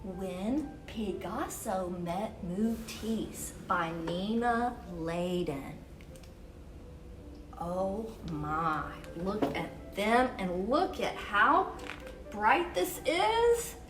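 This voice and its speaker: Woman, children's story time, slow measured pace, expressive emphasis, exclamatory, soft music behind. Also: mid-range voice, distant mic. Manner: expressive, exclamatory, dramatic emphasis on key words. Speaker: children's storyteller and event host. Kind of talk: animated children's picture-book read-aloud. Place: University museum gallery.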